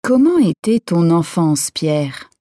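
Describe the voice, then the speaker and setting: A woman giving a careful, clearly articulated scripted reading for a language course. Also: fairly low pitch, sing-song, slow pace, warm tone, no room sound, clean studio sound. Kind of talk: slow scripted reading for learners.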